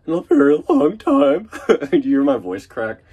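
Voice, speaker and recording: A man's voice: a little high, wildly swooping pitch, moderate pace, vocal fry, darkish tone, husky, lo-fi sound. A man with a nasal voice from a cold, rambling casually through a vlog update from inside an unfinished van. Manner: rambling and chatty, lots of fillers and restarts. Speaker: vlogger.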